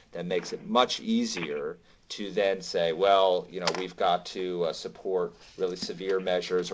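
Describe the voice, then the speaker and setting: A man with a deep voice briefs members on a conference call. He speaks off the cuff and pauses often, and the audio is dull and lo-fi. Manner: halting, with many pauses. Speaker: advocacy staff briefing members. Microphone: conference phone call.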